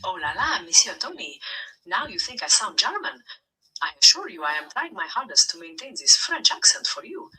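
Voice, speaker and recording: A synthetic female chatbot voice with a very bright, breathy tone that swings through a lively pitch range. She answers politely but sternly, with formal phrasing. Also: fairly quick, rough recording. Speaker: AI chatbot persona. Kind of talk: formal scripted replies.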